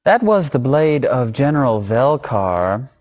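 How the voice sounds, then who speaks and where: An actor playing a sly, insinuating trader with courtly, measured speech in a fantasy video game. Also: male voice, medium pitch, sing-song, darkish tone, a little hiss or hum. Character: sly, smooth-talking shady trader with a cold grudge. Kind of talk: video game cutscene acting.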